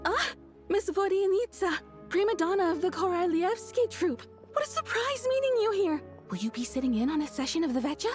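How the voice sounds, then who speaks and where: A woman's voice: very high-pitched, big pitch swings, a little fast, soft background music. A woman playing an eager, polite and forward female reporter in a video game, with theatrical delivery.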